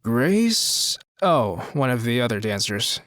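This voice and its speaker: A man's voice: mid-range voice, big pitch swings, slow, a bit bright, no room sound, clean studio sound. A man playing a domineering, smug, flirtatious crime boss in an audio drama, commanding and insistent.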